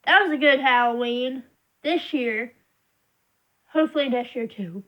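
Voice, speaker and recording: A woman's voice: high-pitched, a little slow, dull audio. A young woman vlogging to camera, rambling as she complains in frustration. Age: young adult. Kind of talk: casual venting monologue.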